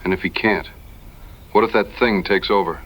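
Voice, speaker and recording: A man's voice: fairly low pitch, steady tone, moderate pace, a bit bright, slight background noise, close to an everyday voice, poor audio. A man playing a plainspoken, skeptical frontier hunter in an audio drama, earnest and folksy in his backwoods phrasing. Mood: concerned.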